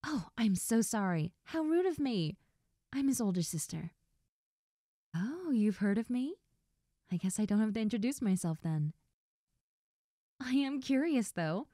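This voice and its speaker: A woman playing a best friend's friendly, nosy older sister in an intimate roleplay audio drama, talking softly and coyly straight to the listener. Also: a little high, big pitch swings, measured pace, long pauses, no room sound, studio quality. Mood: friendly, grateful. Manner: soft, gentle, coy, addressing the listener directly.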